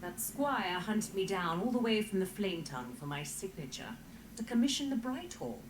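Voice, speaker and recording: Female magistrate for a fantasy game, actress-played: exasperated, dry, animated delivery in a roomy space. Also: mid-range voice, moderate pace, slight background noise, lightly acted. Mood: dryly weary. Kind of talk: fantasy video game dialogue.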